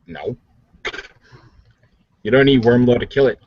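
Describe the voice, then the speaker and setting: A man's voice: mid-range voice, steady tone, measured pace, gravelly, slight background noise. A man bantering casually and wryly as a player in a tabletop roleplaying game over online voice chat. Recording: dull, dry lo-fi voice chat.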